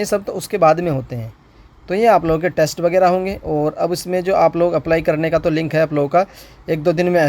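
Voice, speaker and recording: Higher-voiced man explaining, instructor style. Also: a little mellow. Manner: repeats points and asks himself questions. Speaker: exam-prep video instructor. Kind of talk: informal explainer on a recruitment notice.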